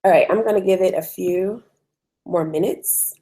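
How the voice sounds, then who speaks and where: A woman with a hoarse voice from a cold, hosting a live online webinar in a conversational way with frequent ums. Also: on the low side, normal speed, warm tone, a little hiss or hum. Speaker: webinar host.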